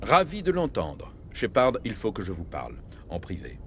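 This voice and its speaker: An actor playing a grave, middle-aged military starship captain, speaking with measured authority in video game dialogue. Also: male voice, on the low side, huge pitch swings, slight background noise. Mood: concerned, formal.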